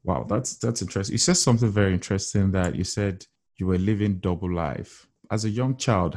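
A man hosting an interview show, reading a formal guest introduction and asking questions in a measured way. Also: low voice, darkish tone.

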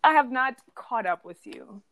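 A woman's voice: fairly high pitch, lively intonation, measured pace, fairly bright tone. A young woman from Zimbabwe hosting a podcast in casual chat, chatty and laughing often as she acts out what people said. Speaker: podcast host.

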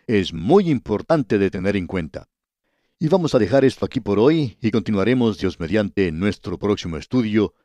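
A man's voice: fairly low pitch, a little mellow, no echo. A man teaching a radio Bible study in an earnest, scripted way, often addressing the listener directly.

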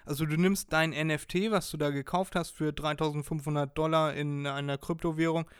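Smooth-voiced joking man. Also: on the high side, fairly even intonation, brisk, no echo, studio quality. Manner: joking, plays along, guesses. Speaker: podcast co-host. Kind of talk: casual podcast chat.

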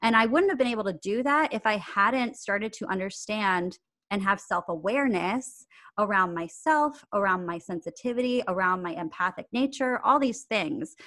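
A woman's voice: mid-range voice, lively intonation, moderate pace, a bit bright. A woman guest on a podcast, talking earnestly and reflectively in casual conversation. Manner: earnest, reflective, with bursts of laughter. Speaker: podcast guest, acting coach.

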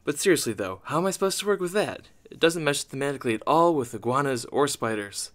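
A man's voice: medium pitch, animated, fast talker, a bit bright, lightly acted. A man playing a sardonic, scheming ghost in a comedic audio drama, delivering sarcastic, wisecracking monologue. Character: sardonic, scheming male ghost trapped haunting a house.

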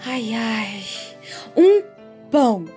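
A folksy woman narrator, played by an actress tells a comic radio serial slowly in a fairly high voice. Her rural Brazilian accent and wry, theatrical delivery sit over clear music. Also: very animated, mellow, exaggerated voice. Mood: wry, dramatic.

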